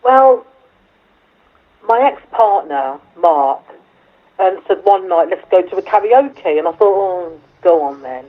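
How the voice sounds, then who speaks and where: A woman singer with a deep voice, chatting on the phone in an interview, a bit hesitant and self-deprecating, with plenty of fillers. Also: lively intonation, slow. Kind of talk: casual chat over the phone. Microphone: telephone. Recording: tinny phone line.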